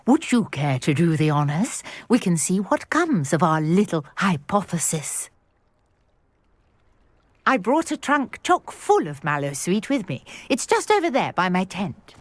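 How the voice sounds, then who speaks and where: A woman's voice: lowish voice, big pitch swings, measured pace, no echo. A woman voicing an eager, chatty witch archaeologist in a video game, prone to exclaiming in wonder. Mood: encouraging. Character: excitable scholarly witch, archaeologist obsessed with Merlin.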